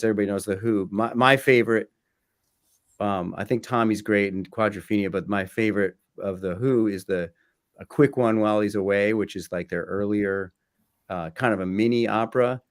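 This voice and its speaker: A man talking casually as an interview guest, halting and circling back to repeat his phrases. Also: fairly low pitch.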